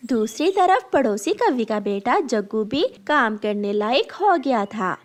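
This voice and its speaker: High-pitched, animated woman storyteller. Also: a little slow. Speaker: storyteller, narrator. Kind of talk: scripted cartoon story narration.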